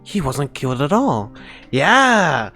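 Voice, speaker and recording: A woman's voice: low-pitched, big pitch swings, slow, fairly bright tone, faint music behind. A woman giving casual, emotional, exclamatory reaction commentary as a game streamer.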